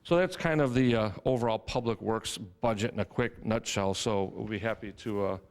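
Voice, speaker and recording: A man who works as a city public works official, explaining his department's budget in a steady way with many fillers at a council meeting. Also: lowish voice, moderate pace, a little hiss or hum. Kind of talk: presenting a budget. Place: council chamber.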